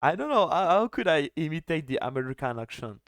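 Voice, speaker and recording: A man's voice: a little high, sing-song, brisk, a bit bright, no room sound. A French man casting a strategy game in casual chat, laughing often and restarting his sentences. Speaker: game caster.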